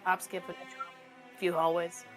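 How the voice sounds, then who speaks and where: A woman's voice: fairly low pitch, flat delivery, fairly quick, faint music behind. A woman improvising in a casual tabletop role-playing game with a laconic, dryly understated manner.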